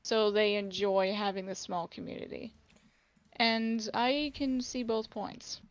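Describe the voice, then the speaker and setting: A woman co-hosting a casual podcast, hoarse from a sore throat, talking haltingly. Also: medium pitch, little pitch movement, dry recording. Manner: halting, lots of likes. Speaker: podcast co-host. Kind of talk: casual chat.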